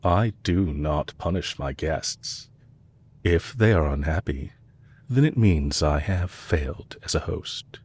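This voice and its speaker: A man playing a composed, courteous villain leader who is possessive and lovestruck, speaking formally and deliberately in an intimate romantic audio roleplay. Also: very deep voice, animated, a little mellow, husky, full character voice. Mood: sincere, gentle. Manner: formal, deliberate, courtly phrasing with long pauses.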